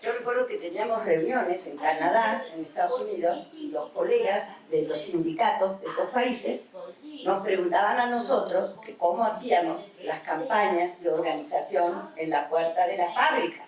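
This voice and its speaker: A woman's voice: medium pitch, far from the mic. Mexican woman speaking in an echoey hall. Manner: measured, explanatory, with tag questions. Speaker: academic panelist. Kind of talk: seminar talk.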